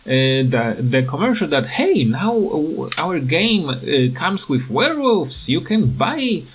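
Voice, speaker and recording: A tired man who is not a native English speaker, giving a casual unscripted rant in a halting, rambling way that repeats words. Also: fairly high pitch, sing-song, a little hiss or hum. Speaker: gaming video creator.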